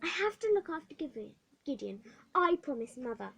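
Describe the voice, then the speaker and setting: A woman voicing a desperate, defiant little orphan girl, pleading and shrill in a story reading. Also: sing-song, moderate pace, vocal fry, fairly bright tone, exaggerated voice.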